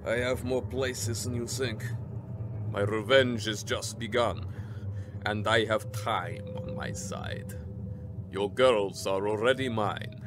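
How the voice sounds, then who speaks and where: A man's voice: on the low side, steady tone, takes it slowly, noisy background. A man playing a menacing, possessive vampire count in a radio drama, taunting, gloating and theatrical. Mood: gloating menace.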